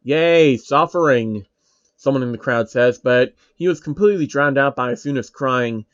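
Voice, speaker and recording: A man's voice: medium pitch, fairly quick, clear, resonant tone, no echo, dull audio. A man narrating a comedic story in a casual, irreverent way, with offhand asides.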